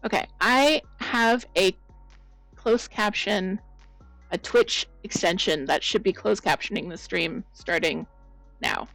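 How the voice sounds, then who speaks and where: A woman chatting casually with viewers as the host of a crafting stream, her voice a bit croaky. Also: medium pitch, takes it slowly, dry recording, faint music behind, rough recording. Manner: chatty, rambling, greets viewers by name. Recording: loud blown-out mic.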